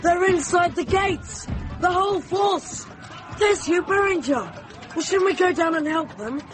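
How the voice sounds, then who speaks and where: A young male actor playing an eager, brave and loyal noble boy in a radio drama, earnest and excitable. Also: extremely high pitch, unhurried, over music, a crowd in the background, low-quality recording. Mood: excited, anxious.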